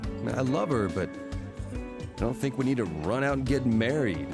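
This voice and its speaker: An evasive slacker boyfriend, lively pitch. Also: male voice, normal speed, vocal fry. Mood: defensive. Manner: hesitant, evasive. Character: evasive, slacker boyfriend man dreading marriage. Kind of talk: naturalistic video game voice acting. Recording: music playing behind the voice.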